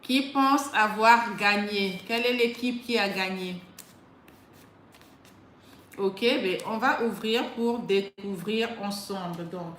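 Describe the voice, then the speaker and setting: A woman's voice: medium pitch, slow, a bit bright, echoey room, slight background noise. A woman with a slight cold hosting a live prize draw, talking straight to her viewers and often repeating herself. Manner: chatty, addresses viewers directly, repeats herself. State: slightly congested with a cold, clears throat. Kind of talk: live stream talk to viewers. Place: indoors, alone.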